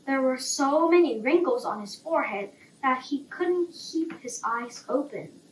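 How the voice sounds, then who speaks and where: A woman reads a bedtime story at a slow, unhurried pace. Her pitch is high and moves around expressively, and she sounds slightly distant in a roomy space. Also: expressive. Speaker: storybook reader. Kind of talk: bedtime story narration, scripted. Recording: roomy, distant, faint background noise.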